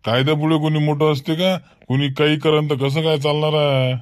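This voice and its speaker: A man's voice: on the high side, steady tone, fairly quick, no echo, clean studio sound, close to an everyday voice. A man voicing a village schoolmaster in a comic cartoon, chatting and reasoning in a folksy way with a rural Varhadi Marathi accent. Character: village schoolmaster chatting about local gossip.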